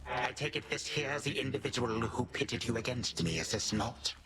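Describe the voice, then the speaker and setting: An actor playing a menacing, condescending ancient Titan grandfather in a video game, with slow, archaic phrasing. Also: medium pitch, noisy background, natural voice. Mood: cold menace. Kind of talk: dramatic video game acting. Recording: game music behind voice.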